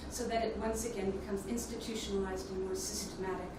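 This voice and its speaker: A woman giving a prepared conference presentation as a speaker on leadership development, in a measured, explanatory way. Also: medium pitch, level tone. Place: indoor conference plenary room. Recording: echoey hall, distant mic, noisy ambience.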